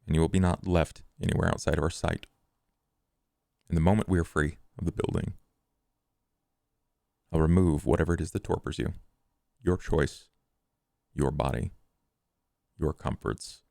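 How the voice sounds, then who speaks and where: A menacing vampire, played by a man in a tabletop game, speaks in a very deep, creaky growl. He is formal and deliberate, calculating yet grimly protective, recorded dry and close. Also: fairly even intonation, darkish tone, no echo. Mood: grim, assured. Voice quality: gravelly.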